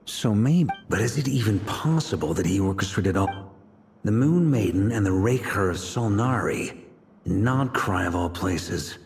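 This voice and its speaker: A confident, easygoing commander in a video game. His pitch moves with plenty of life, yet he lays out his thoughts deliberately and reassuringly. Music plays behind him. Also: lively intonation, vocal fry, darkish tone. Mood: thoughtful. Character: confident, laid-back adult male military leader planning strategy. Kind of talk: scripted game dialogue.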